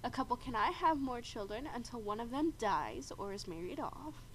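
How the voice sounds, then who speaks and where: High-voiced, slightly stuffy woman narrating a game. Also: normal speed, low-quality recording. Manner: frequent throat clearing, chatty and explanatory. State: congested after a bad cold. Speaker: video game host. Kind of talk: casual gameplay narration, reading out challenge rules. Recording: steady background noise.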